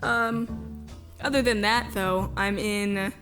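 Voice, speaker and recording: A woman's voice: mid-range voice, steady tone, slow, a bit bright, soft background music. A young woman talking casually as an interview guest, giggly and self-deprecating. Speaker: guest, amateur voice actor. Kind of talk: casual chat.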